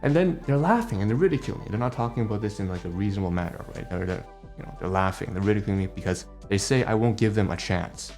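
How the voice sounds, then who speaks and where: Heated low-voiced man ranting at home. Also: lively intonation, fast talker, few pauses, darkish tone, soft background music. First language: Cantonese. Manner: heated, profane ranting with many rhetorical tags. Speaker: vlogger. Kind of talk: personal vlog rant.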